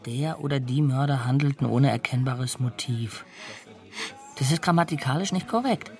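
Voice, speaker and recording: Male actor as intense, superstitious drifter criminal, fussy and uneasy, medium pitch, radio drama. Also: moderate pace, a little mellow, faint music behind, lightly acted. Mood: irritated, pedantic.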